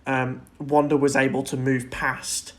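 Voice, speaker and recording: Male podcast co-host, mid-pitched, casual and enthusiastic discussion, loosely phrased. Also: level tone, unhurried.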